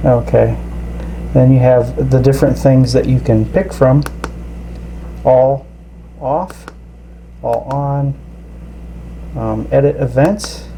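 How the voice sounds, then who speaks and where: Middle-aged American male, mid-pitched, unhurried gadget reviewer, off-the-cuff delivery, noticeable background noise with clicks. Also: fairly even intonation, creaky, dark tone, rough recording. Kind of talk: casual unscripted product walkthrough. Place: small indoor room at a tabletop.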